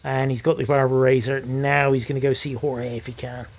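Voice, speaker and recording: A hoarse man, commentating a competitive video game match with excited, swearing energy. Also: medium pitch, brisk. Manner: energetic, swears freely, laughs often. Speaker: esports shoutcaster.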